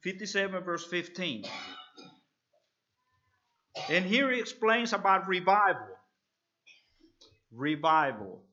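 Guy in his 30s preaching, kinda high bright voice, lots of ums, muffled lo-fi recording. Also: lively intonation. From El Salvador. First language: Spanish. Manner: halting, earnest, with frequent fillers. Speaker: visiting preacher. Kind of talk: sermon.

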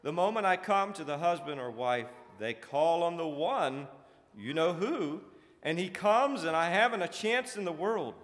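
A man's voice: medium pitch, sing-song, measured pace, sharp tone. A man voicing a sly, jealous, shriveled little imp in a dramatic recited tale. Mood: frustrated, pleading. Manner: sly, boastful. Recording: echoey hall with long reverb.

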